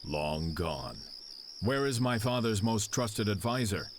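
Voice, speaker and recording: A grim, determined young warrior lord from a video game. His voice is fairly deep, and his delivery is terse, stern and defiant. Insect ambience is clearly audible behind him. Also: lively intonation, slow pace, a little hiss or hum. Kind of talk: dramatic video game cutscene acting.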